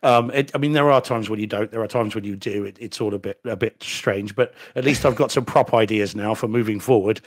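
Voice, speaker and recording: A man's voice: on the low side, brisk, hardly any pauses, breathy edge. A middle-aged man from New Zealand, a solicitor co-hosting a home theater podcast, talking casually and carefully, with dry asides and restarts, on a desk microphone from a room at home. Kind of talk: casual chat. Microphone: desk microphone on video call.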